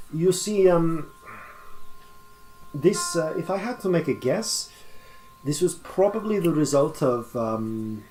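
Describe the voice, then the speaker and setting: A learned male cleric speaks in formal, measured sentences, as a game master puts him on. He lectures slowly with many thoughtful pauses. Soft music plays faintly underneath. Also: mid-range voice, low-quality recording.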